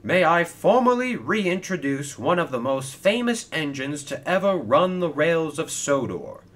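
Guy doing a grand formal train boss announcing stuff, kids story, very animated. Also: a little high, a little slow, pitched above the performer's own voice. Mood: proud, announcing. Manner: pompous, proclaiming. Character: grand, formal male railway master of ceremonies.

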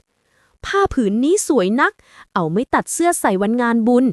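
A gushing older market woman from a village storybook, as acted by a female narrator. She sounds eager and bargain-happy, with a fairly high, lively, swooping delivery. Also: moderate pace, no echo, studio quality.

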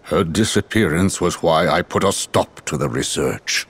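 An actor playing a remorseful, stiffly formal scientist in a video game cutscene, pleading and defending himself. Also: male voice, low voice, expressive, moderate pace, slightly rough, exaggerated voice.

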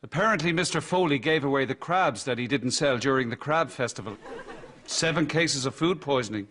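A man's voice: mid-range voice, a little fast, a bit bright, lightly acted. A man playing a matter-of-fact doctor in a TV sitcom, speaking in an understated way. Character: matter-of-fact doctor giving bad news. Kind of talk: TV sitcom acting.

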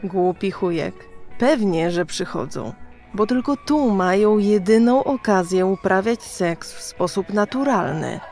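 An actress playing a brash, crude, streetwise prostitute in a dystopian audio drama, mocking and slangy. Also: female voice, mid-range voice, animated, unhurried, a little mellow, soft background music. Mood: scornful, cynical. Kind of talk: radio drama acting.

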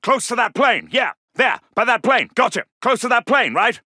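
A man's voice: very high voice, big pitch swings, normal speed, pushed and bright, husky, no room sound, clean studio sound, full character voice. A man voicing an alert soldier in a video game, giving clipped, urgent military callouts. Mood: brisk, confirming. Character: alert, gruff British male Second World War soldier. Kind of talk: shouted combat callouts.

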